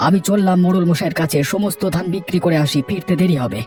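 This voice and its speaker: Man acting high-voiced, discontented cartoon farmer. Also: fast talker, darkish tone, soft background music, close to an everyday voice. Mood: irritated. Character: discontented, hard-working village farmer and husband.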